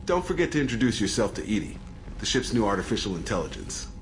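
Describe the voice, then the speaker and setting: A polished corporate agent in a sci-fi game. His voice is very deep and quick, smooth and courteous. Audible noise runs behind it. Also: sing-song, low-quality recording. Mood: diplomatic. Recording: game audio with ambient noise.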